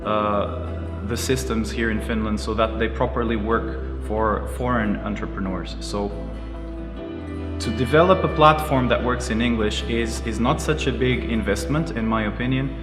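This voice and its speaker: A man in his thirties from Portugal, a native Portuguese speaker, answering interview questions in a measured, earnest way with frequent pauses. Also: fairly low pitch. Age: 30s. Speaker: karate teacher and entrepreneur. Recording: roomy echoey space with music behind. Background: music playing under the voice.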